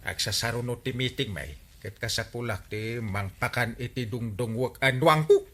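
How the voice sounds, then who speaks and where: An actor playing a blustering, wheedling village captain in an Ilocano radio comedy drama, cajoling with hesitations and exclamations. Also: male voice, mid-range voice, normal speed, fairly bright tone, close to an everyday voice.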